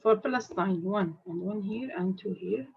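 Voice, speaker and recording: A woman's voice: mid-range voice, vocal fry, husky, dull audio. A woman from Jordan, a math teacher leading a classroom lesson and questioning her students one by one. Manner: slow, repetitive questioning of students.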